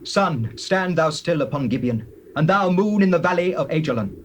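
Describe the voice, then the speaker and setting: An actor playing a stern, commanding Israelite war leader, declaiming in a dramatized scripture reading. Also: male voice, a little high, animated, moderate pace, lightly acted. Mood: solemn command. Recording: old cassette tape with faint noise.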